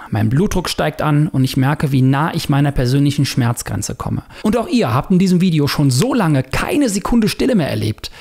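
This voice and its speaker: A man with a slightly higher-pitched voice that has a husky, gravelly edge. He delivers a scripted voice-over for his own online video, mixing documentary-style narration with casual first-person remarks. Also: darkish tone. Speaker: video creator narrating a self-experiment.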